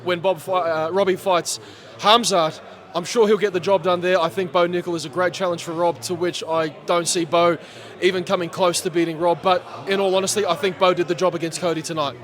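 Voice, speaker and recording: Male MC, high-pitched, hoarse, animated guest in a sports bar chat. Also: a little fast. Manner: animated, chatty, laughs often. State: losing his voice. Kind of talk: post-fight reaction interview.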